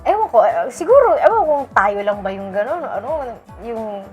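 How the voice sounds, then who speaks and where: Female Filipino fan commentator, high voice, casual and emphatic reaction chat, faint music underneath. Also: big pitch swings, moderate pace, a little mellow. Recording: music playing behind the voice.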